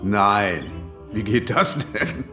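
A man's voice: fairly low pitch, animated, extremely slow, over music. An actor playing a flustered, grumbling editor in his late fifties in naturalistic radio drama, with a hesitant, dryly sardonic way of talking. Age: 50s. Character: flustered, grumbling 59-year-old male newspaper editor.

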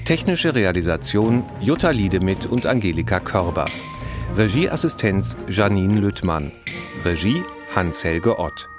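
Very deep male announcer. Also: sing-song, measured pace. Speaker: announcer reading credits. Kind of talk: scripted broadcast announcement. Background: music.

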